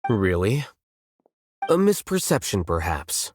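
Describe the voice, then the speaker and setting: A man playing an aloof, coolly logical young scholar in high office in a game, speaking in a dry, articulate way. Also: sing-song, slow, a little mellow, slight background noise. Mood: cool questioning. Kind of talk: measured game dialogue acting. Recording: music clearly behind the voice.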